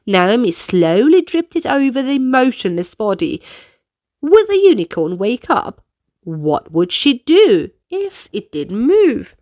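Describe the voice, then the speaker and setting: A woman's voice: on the high side, dry recording, studio quality. A woman narrating a children's storybook and guiding young listeners through dance movements in an instructive manner. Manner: instructive, clearly paced delivery for young listeners.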